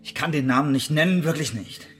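A man's voice: on the high side, fairly quick, fairly bright tone, faint music behind, natural voice. Actor as cornered, defensive male spy. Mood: reluctant, cowed. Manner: defensive, reluctant, protesting. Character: cornered male spy under interrogation, reluctant and indignant. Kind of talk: radio drama acting.

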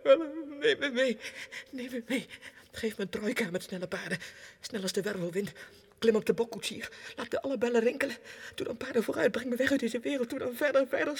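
An actor playing a tormented, desperate, childlike madman in a theatrical 1960s radio monologue that swings between hushed whispers and anguished cries. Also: very high voice, creaky, husky, full character voice. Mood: feverish, urgent. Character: tormented madman clerk, imagines himself king, desperate and childlike. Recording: reverberant hall, 1960s radio production.

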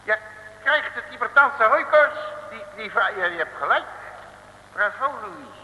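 An actor playing a bossy, boastful but cowardly detective in a mid-century comic radio serial, blustering in colourful slang. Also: male voice, sits high, expressive, a little slow, pushed and bright, exaggerated voice. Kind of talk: 1950s-60s comic radio serial acting. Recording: old radio recording, echoey, faint noise.

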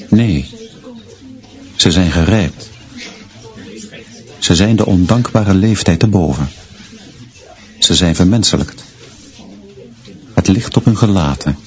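An actor playing a brooding schoolteacher, narrating in measured, deliberate short phrases in a literary radio drama. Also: male voice, sits low. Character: brooding male schoolteacher narrating his observations of pupils. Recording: dull, muffled sound.

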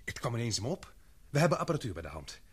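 A bold young expedition member who talks quickly and keenly. His voice is somewhat husky and swings up and down in pitch. He stays deferential to his superior. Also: very animated. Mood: eager. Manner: quick, keen, respectful. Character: eager, daring young male expedition member. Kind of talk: radio play acting.

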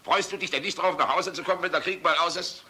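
A man's voice: a little high, quick pace, breathy edge, full character voice. An actor in a German radio play voicing an old, world-weary, passionate general, blustering and fatherly in a rhetorical manner. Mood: exasperated, urgent. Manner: blustering, rhetorical, swinging from outbursts to hushed musing. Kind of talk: German radio drama acting.

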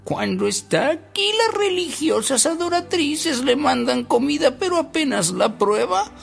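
An actor voicing a sweet, kindly old manservant in a radio drama, fussy and eager in manner. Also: male voice, measured pace, gravelly, soft background music, heavily acted. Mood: fond fretting. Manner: high, animated, fussy and eager.

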